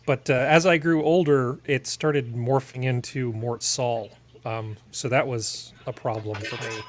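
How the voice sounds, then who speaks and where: Middle-aged man, mid-pitched. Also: steady tone, a little slow. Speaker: panel member. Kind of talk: casual comic chat.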